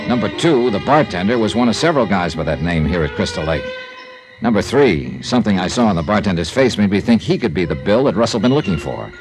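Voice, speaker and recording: A wry, hard-boiled insurance investigator, needling suspects with dry questions in an old radio crime drama. His voice sits a little low and swings up and down with lively inflection. The old transcription carries a music bed behind him. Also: fast. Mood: narrating. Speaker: narrator and lead. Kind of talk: 1950s radio drama acting.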